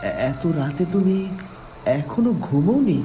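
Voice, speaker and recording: Casting: actor for worried young fairy-tale prince, urgent questioning delivery, prominent music and night insects behind. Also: male voice, high voice, animated, measured pace. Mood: worried, searching. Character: worried young prince searching, devoted husband.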